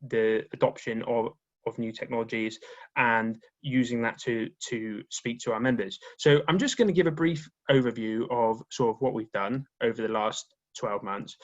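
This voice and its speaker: A man, a union marketing officer, giving a presentation on a conference call in a halting way, with many restarts and repeated words. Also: mid-range voice, lo-fi sound. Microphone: remote call audio.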